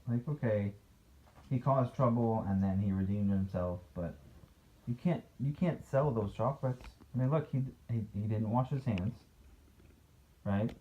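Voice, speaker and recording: A man's voice: lowish voice, unhurried. A man reading a picture book aloud as a dad to a child and chatting about it in a playfully critical, conversational way. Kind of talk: storybook read-aloud with casual chat. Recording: dull, noisy home recording.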